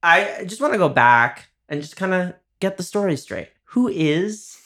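A man's voice: high voice, big pitch swings, measured pace, a bit bright. A man hosting a casual podcast chat, talking loosely with lots of filler words and easy laughter.

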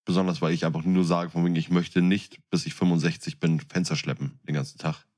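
Deep-voiced man in casual podcast chat. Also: level tone, quick pace, a little mellow. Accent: German. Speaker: podcast co-host.

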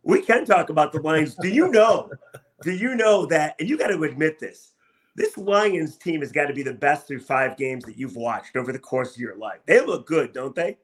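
Man, sports show host, higher-than-average voice, emphatic, enthusiastic interviewing. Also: expressive, moderate pace. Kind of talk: upbeat sports talk and interviewing.

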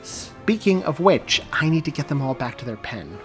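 A man's voice: on the high side, big pitch swings, moderate pace, soft background music, voice pushed up. A man voicing a kindly, amused adult animal handler in a storybook reading.

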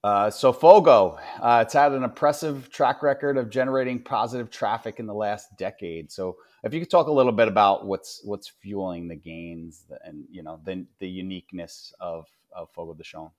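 A male podcast host with a slightly low voice. He asks friendly, hesitant questions, with plenty of fillers. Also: moderate pace, hardly any pauses, a little mellow. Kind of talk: business podcast interview.

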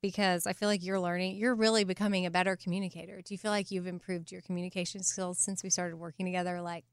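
A woman's voice: on the low side, flat delivery, quick pace, clean tone, no echo. A woman hosting a casual interview, encouraging and a little tentative in how she puts questions. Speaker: podcast host interviewing a guest.

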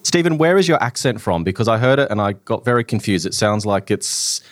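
A man in his forties co-hosting a podcast, lively and quick to laugh in casual chat. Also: on the low side, dry recording, clean studio sound. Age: 40s. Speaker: podcast co-host.

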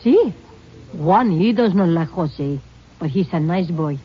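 A woman's voice: on the low side, expressive, measured pace. An actress playing a worried older Mexican mother who picks cotton, speaking broken English with a Mexican Spanish accent, out of breath, in 1950s radio acting. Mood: anxious. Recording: dull old radio broadcast.

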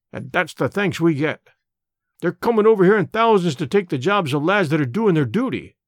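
A blunt older Scottish labour radical speaks in thick dialect, as a male reader puts him on. His voice sits high and swings widely. The recording is dry and close. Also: dry recording, full character voice. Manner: broad dialect, forceful and opinionated. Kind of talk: audiobook character voice.

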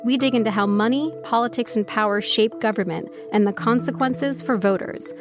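A woman's voice: on the high side, moderate pace. A woman journalist and podcast host reading a scripted promo. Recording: clear music bed behind voice.

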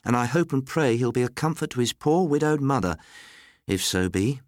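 A man's voice: mid-range voice, lively intonation, normal speed, no room sound, clean studio sound, pitched above the performer's own voice, lightly acted. A man voicing a gossiping, pious household woman with an emphatic, folksy way of talking, in a storybook reading. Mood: pious sympathy.

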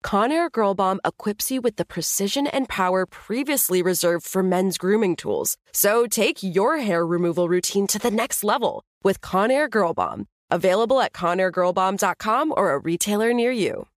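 A woman's voice: lively intonation, normal speed, hardly any pauses, dry recording, clean studio sound. A woman giving a polished, upbeat commercial voice-over. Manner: polished, upbeat sales pitch. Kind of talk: scripted commercial read.

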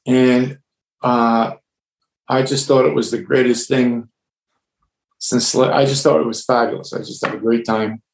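A man from the United States, a laughter yoga leader, talking as a guest in a relaxed way, with many restarts and easy laughs. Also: mid-range voice, gravelly. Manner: relaxed storyteller, halting with restarts, laughs easily. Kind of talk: casual conversation. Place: home with household noises. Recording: echoey room.

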